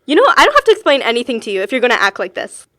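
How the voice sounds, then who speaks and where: Female actor for earnest, pleading college-age woman, rapid delivery, slightly high voice, naturalistic film drama. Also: huge pitch swings, pushed and bright, exaggerated voice. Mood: defensive. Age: young adult.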